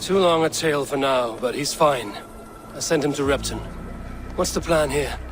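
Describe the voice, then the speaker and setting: An actor playing a stern, commanding Viking warrior who speaks tersely, in a video game cutscene. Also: male voice, medium pitch, moderate pace, close to an everyday voice. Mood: calm. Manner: terse, authoritative. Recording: music under dialogue.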